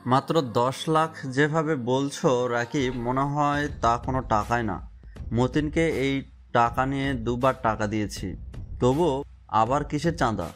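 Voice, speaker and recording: A man's voice: mid-range voice, a little slow, soft background music, natural voice. Man acting a pleading, stubborn old pensioner. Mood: protesting. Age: older. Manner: pleading yet defiant.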